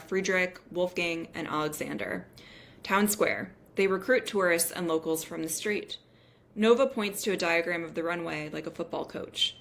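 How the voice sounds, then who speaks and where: A woman's voice: fairly low pitch, a bit bright, slight background noise. A woman reading stage directions as the narrator of a scripted table read.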